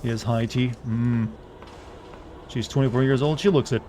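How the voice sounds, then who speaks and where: A man streaming a game, chatting wryly with joking asides, his voice a bit raspy. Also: medium pitch, normal speed, mellow, a little hiss or hum. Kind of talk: live gameplay commentary. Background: faint game music.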